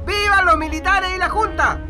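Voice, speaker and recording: High-voiced sadistic Chilean corporal. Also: male voice, fairly even intonation, moderate pace, bright, forceful tone, heavily acted. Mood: mocking, commanding. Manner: taunting, barking orders. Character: sadistic mocking military corporal bullying blindfolded prisoners. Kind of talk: radio drama acting. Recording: music bed under the voice.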